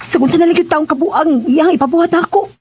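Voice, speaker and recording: A woman's voice: very high-pitched, fast, noisy background. An actress playing a flustered, feisty young working woman in a radio drama, exclaiming and stammering protests with a Filipino Visayan accent. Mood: indignant, surprised.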